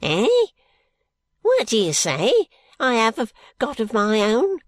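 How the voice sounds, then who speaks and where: A reader voicing a suspicious, half-deaf old male moneylender with a shrill, sharp voice and a snarling manner. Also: wildly swooping pitch, slow pace, dry recording. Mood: querulous. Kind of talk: audiobook character reading.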